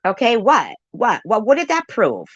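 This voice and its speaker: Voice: medium pitch, wildly swooping pitch, moderate pace, no echo. An indignant commentator giving off-the-cuff reactions, swearing and repeating phrases for emphasis. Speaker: commentator reacting to a played livestream clip. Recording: dull lo-fi sound.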